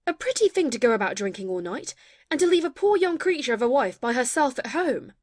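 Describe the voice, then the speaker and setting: Casting: female actor as loyal, sly maid, fairly high voice, reproachful, dry recording, period comedy. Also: big pitch swings, fairly quick, a little mellow, no echo. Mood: mock outrage. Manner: mock-pitying, reproachful. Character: sly maidservant loyally backing her mistress. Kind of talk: audio play of classic stage comedy.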